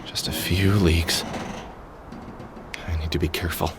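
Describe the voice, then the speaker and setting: Man playing a deep, weary, brooding game hero. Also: flat delivery, normal speed, music in the background, natural voice. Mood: tense, weary. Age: middle-aged. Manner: brooding, weary, muttering to himself. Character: weary middle-aged man with a cybernetic arm, grieving and sardonic. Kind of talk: video game voice acting, brooding inner monologue and dialogue.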